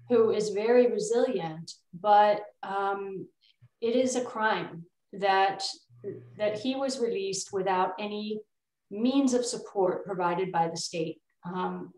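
A woman's voice: medium pitch, darkish tone. A middle-aged woman with an American accent, speaking earnestly and emphatically as an attorney on a video-call panel. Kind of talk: panel discussion remarks. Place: indoor room, on a video call. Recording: echoey, distant video-call sound.

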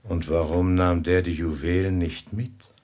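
An actor playing a stern, suspicious ruler who questions people in a grave, measured, probing way in a 1950s radio drama. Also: male voice, deep voice, flat delivery, darkish tone. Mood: grave suspicion. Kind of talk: 1950s German radio play acting.

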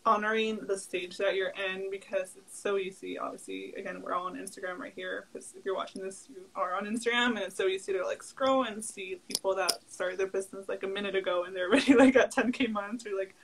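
A young woman whose first language is Spanish talks as a guest in a relaxed interview, her voice at a middling pitch. She rambles with frequent fillers and laughs easily, heard over a video call from home. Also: quick pace, hardly any pauses, breathy edge, low-quality recording. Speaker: guest entrepreneur. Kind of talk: casual interview chat.

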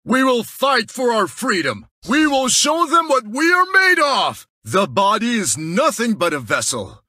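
A man's voice: very high-pitched, huge pitch swings, unhurried, bright, forceful tone, no echo, clean studio sound, full character voice. An actor playing a proud, defiant warrior chieftain defending his homeland, with heroic, declamatory battle lines for a video game. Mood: battle shout, then grim menace.

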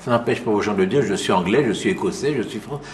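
A man's voice: lowish voice, quick pace. A middle-aged man, hosting a TV debate and stubbornly repeating his own point against his guest. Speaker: TV host. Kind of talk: heated TV debate. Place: TV studio set, indoors. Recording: echoey studio with faint room hiss.

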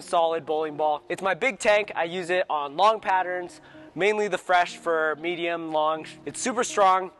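A man's voice: sits high, a bit bright. A young man in a bowling alley describing his bowling balls in short, upbeat phrases on a lapel mic. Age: young adult. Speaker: two-handed bowler presenting his arsenal. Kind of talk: casual equipment walkthrough.

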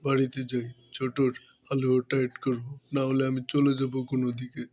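A man's voice: mid-range voice, monotone, brisk, a little mellow, lightly acted. A man voicing a proud, exasperated elder fox brother in a cartoon, scolding and lamenting. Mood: distressed, indignant. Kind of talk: cartoon voice acting.

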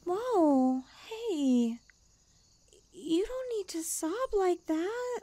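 A woman acting imperious young catgirl, very lively intonation, unhurried, self-centred, cutesy, ASMR roleplay. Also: very high-pitched, no echo, heavily acted. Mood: distracted, puzzled. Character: haughty, needy young stray catgirl, bluntly self-centred.

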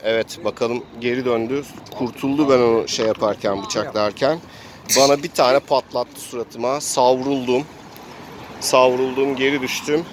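A man's voice: medium pitch, steady tone, moderate pace. A man narrating an improvised tabletop adventure as game master, in a theatrical storytelling way. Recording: noisy outdoor recording with traffic ambience.

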